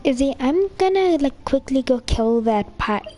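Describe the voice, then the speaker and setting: A woman's voice: sits high, animated, a little slow, warm tone. A woman chatting casually while she plays a video game, as a content creator. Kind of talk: casual gameplay chat.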